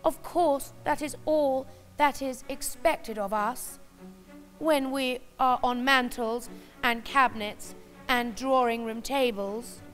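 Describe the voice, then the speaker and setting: A woman's voice: high-pitched, slow pace, fairly bright tone, soft background music. A woman voicing a dainty young china princess from a storybook, prim and genteel. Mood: earnest.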